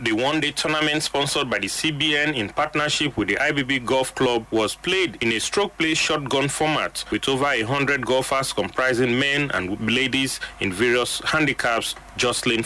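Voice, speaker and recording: A man with a Nigerian accent reading a scripted sports report in a formal, measured way. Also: medium pitch, pushed and bright, rough recording. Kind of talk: scripted news narration.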